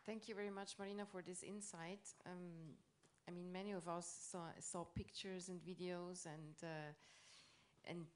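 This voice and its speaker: Low-voiced woman moderating a panel. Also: steady tone, normal speed.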